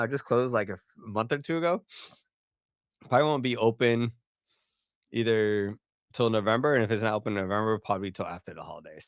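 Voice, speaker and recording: A man's voice: on the low side, dry recording, studio quality. A man from Puerto Rico, speaking English as a later language, answering questions on a livestream in a loose, chatty way with many fillers and restarts. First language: Spanish. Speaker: partnerships director. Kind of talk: casual livestream Q&A.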